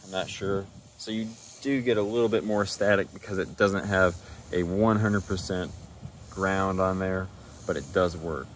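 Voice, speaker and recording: Deep-voiced man giving a casual walkthrough. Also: fairly even intonation, normal speed, slight background noise. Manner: informal, explanatory, step-by-step. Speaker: DIY car video presenter.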